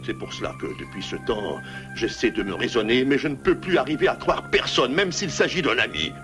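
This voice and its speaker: A man's voice: medium pitch, lively intonation, brisk, a bit bright, soft background music, natural voice. A man voicing a sensitive, self-pitying loner animal in a French cartoon, with a plaintive, formal and dramatic delivery. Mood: bitter, mournful. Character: sensitive, self-pitying male loner animal, once wronged, gruffly kind. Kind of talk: French cartoon dub acting.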